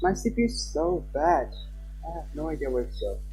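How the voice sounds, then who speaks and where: A man's voice: on the high side, big pitch swings, brisk, darkish tone. A man who is a native Nepali speaker, chatting informally on a livestream and half muttering to himself as he goes. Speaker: live streamer. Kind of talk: casual livestream chat. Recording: dull lo-fi stream audio, music behind. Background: background music.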